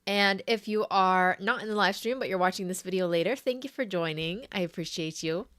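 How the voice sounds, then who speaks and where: A young adult woman hosting a crochet live stream, chatty and friendly with viewers while sick with a sore throat, often clearing her throat. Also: mid-range voice, brisk, a bit bright. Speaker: crochet livestream host. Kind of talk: casual live stream chat.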